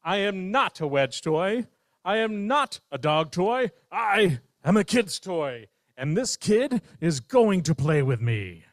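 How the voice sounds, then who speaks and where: A man's voice: high voice, sing-song, slow pace, dry recording, clean studio sound, voice pushed up, full character voice. A man doing a proud, eager toy bear. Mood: determined. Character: cheerful boastful toy bear, proud of his pockets.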